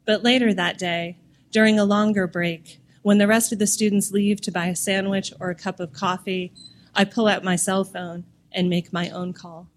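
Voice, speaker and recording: A woman's voice: mid-range voice, steady tone, normal speed. A woman writer reading a piece of literary prose aloud.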